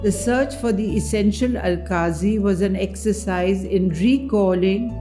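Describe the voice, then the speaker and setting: A woman reading a memoir aloud as a dramatic literary reading, with measured, deliberate phrasing. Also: mid-range voice, warm tone, faint music behind. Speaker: reader at a book event.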